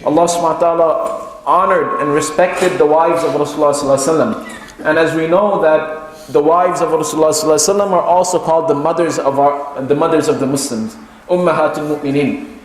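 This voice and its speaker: Male preacher, slightly high voice, explanatory mosque lecture, didactic repetition, heavy room echo, faint noise. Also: quick pace. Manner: didactic, repeats key phrases for emphasis. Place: echoey mosque hall. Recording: very echoey room, clear background noise.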